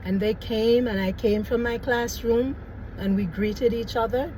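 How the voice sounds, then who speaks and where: A woman's voice: a little high, fairly even intonation, measured pace, mellow, slight background noise, poor audio. A middle-aged woman with a Jamaican accent, a teacher telling a story in an emphatic, preachy way with many "right?" tag questions. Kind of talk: storytelling vlog.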